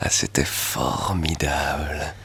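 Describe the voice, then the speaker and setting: An actor in a 1960s French radio drama playing a sinister, gloating hired killer who speaks with slow, savouring menace. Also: male voice, a bass voice, creaky, a bit bright, slightly rough, heavily acted.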